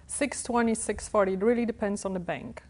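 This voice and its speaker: A woman's voice: mid-range voice, fairly quick, darkish tone. Polish-accented woman in an interview. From Poland. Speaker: guest, real estate broker. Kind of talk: interview answers. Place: TV studio.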